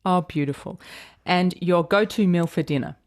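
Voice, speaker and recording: A woman hosting a podcast, reading a scripted intro and then interviewing a guest in a measured, friendly way. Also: fairly low pitch, a little mellow, no room sound, studio quality. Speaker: podcast host.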